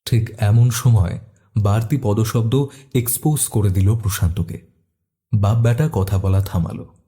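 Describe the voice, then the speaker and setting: A man's voice: lowish voice, fairly even intonation, unhurried, dark tone, husky. A man reading a scripted detective story as narrator, also speaking the detective's questions.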